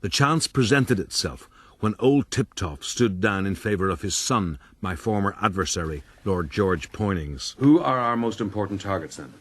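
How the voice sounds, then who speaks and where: An actor playing a proud, bullying eighteenth-century gentleman adventurer in a radio drama, pompous and commanding. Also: fairly low pitch, animated, moderate pace, husky. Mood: proud, calculating. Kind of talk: radio drama narration and dialogue.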